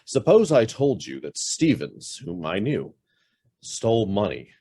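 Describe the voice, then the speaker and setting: Guarded middle-aged businessman in a radio play, acted by a man; lively, swinging intonation, clipped and haunted by guilt. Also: fairly low pitch, a little slow, husky, exaggerated voice. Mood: uneasy, confessing. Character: guarded, brusque investment firm boss hiding a guilty past. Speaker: actor. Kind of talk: radio drama acting.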